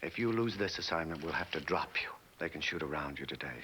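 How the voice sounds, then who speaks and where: Concerned, firm agent, 1960 television drama; very low, sharp-edged voice, earnest delivery, faint hiss. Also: male voice, animated, fast talker, exaggerated voice. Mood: urgent, stern. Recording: hissy old TV soundtrack.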